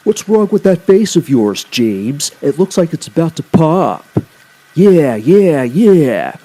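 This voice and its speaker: A man's voice: a little high, very animated, slow, warm tone, voice pushed up, exaggerated voice. A man voicing mischievous freight cars in a children's story, teasing and taunting.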